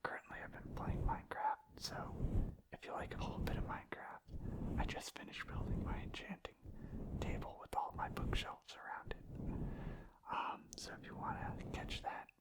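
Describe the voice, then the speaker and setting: A man speaks entirely in a soft, breathy whisper, close to the microphone. He rambles casually in an ASMR style, unscripted and hesitant. His manner is gentle and modest about himself. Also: moderate pace, poor audio. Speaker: ASMR video creator and streamer.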